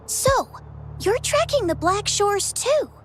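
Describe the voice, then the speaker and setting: A boastful teasing young adventurer. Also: female voice, very high voice, expressive, slow, heavily acted. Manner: teasing, self-assured. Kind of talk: video game cutscene voice acting. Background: game music.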